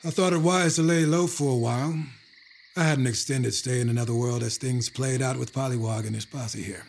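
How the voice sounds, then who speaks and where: An actor playing a composed, self-assured country healer in an audio drama. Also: male voice, medium pitch, fairly even intonation, fairly quick, gravelly. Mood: calm. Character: calm, assured country healer with secret powers.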